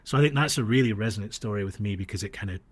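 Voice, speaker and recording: A man's voice: lowish voice, racing, breathy edge. A man born in Australia who grew up internationally, telling anecdotes as a podcast guest in a reflective, hesitant, conversational way. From born in Australia, grew up across the US and Dubai.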